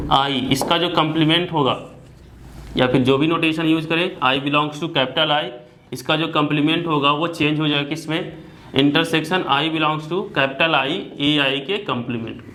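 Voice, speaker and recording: An Indian man teaching a maths lesson, mixing Hindi with slowly dictated English notation and often restarting phrases. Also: a little high, sharp tone, slight background noise, rough recording.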